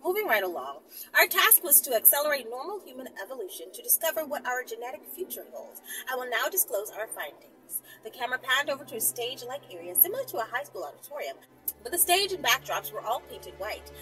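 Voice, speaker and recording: A woman's voice: high voice, very animated, fairly quick, music in the background, poor audio. A woman reading a story aloud as a channel host, breaking into casual, teasing and blunt asides.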